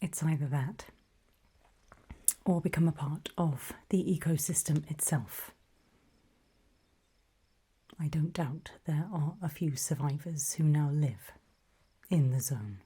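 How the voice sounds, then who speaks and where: A woman playing a calm, faintly sinister official in a whispered ASMR roleplay briefing, breathy, measured and politely ominous. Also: low voice, full character voice. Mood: ominous, matter-of-fact.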